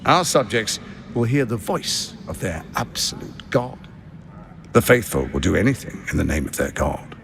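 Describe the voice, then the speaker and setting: An actor playing a smooth, ambitious tyrant lord in a fantasy game, speaking in pompous, measured oratory. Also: male voice, lowish voice, sing-song, a little hiss or hum. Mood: grandiose. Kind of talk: theatrical fantasy game dialogue. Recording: music behind the voice.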